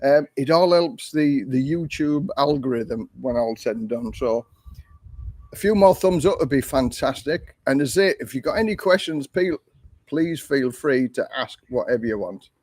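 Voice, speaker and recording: An older man, hosting a casual live stream and answering viewer questions in a rambling, chatty way, with a voice he calls monotone. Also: medium pitch, moderate pace, no room sound. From England. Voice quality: self-described monotone voice. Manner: rambling, chatty, with frequent ums and restarts. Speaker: livestream host.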